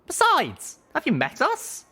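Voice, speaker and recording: Guy doing a little pony girl, high soft falsetto, earnest and pleady, audiobook style. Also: huge pitch swings, unhurried, exaggerated voice.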